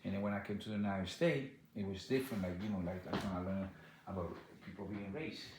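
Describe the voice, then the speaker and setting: A man with a Dominican accent talks in a fairly low voice. He answers questions in loose, repetitive bursts. The echoey room carries a faint hiss of background noise. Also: steady tone. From Dominican Republic. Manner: halting, repeats words, many "like" and "you know". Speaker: interviewee. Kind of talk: casual interview answers.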